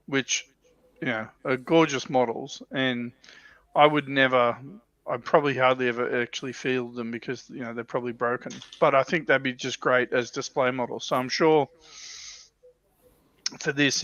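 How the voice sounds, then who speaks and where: A man's voice: mid-range voice, a bit bright, dry recording, lo-fi sound. A man hosting a casual hobby livestream chat, talking hesitantly and restarting his phrases. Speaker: livestream host.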